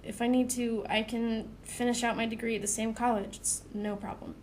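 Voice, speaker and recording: A woman's voice: on the high side, little pitch movement, normal speed, a little mellow, a little hiss or hum, natural voice. A woman playing a caring, dependable young male student in an audio drama, with an earnest, conversational delivery. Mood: earnest, concerned.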